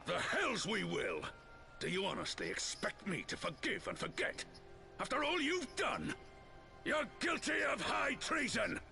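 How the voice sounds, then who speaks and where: An actor voicing a proud, hot-blooded general in a video game, with a gravelly, forceful, declamatory voice. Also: male voice, high voice, wildly swooping pitch, a little slow, heavily acted. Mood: furious. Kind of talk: video game cutscene acting. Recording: game audio with music.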